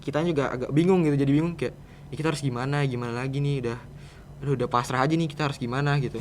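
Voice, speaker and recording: A man's voice: medium pitch, fairly even intonation, rapid-fire, a little hiss or hum. A teenage male student with a Jakarta Indonesian accent, answering casually and a little hesitantly as a podcast guest. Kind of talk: casual interview chat.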